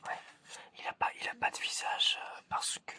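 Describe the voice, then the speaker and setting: Someone whispering hesitantly and softly to the listener, in an ASMR roleplay as an examiner. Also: moderate pace. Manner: hesitant, soft-spoken, addressing the listener directly. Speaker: ASMR creator playing an examiner.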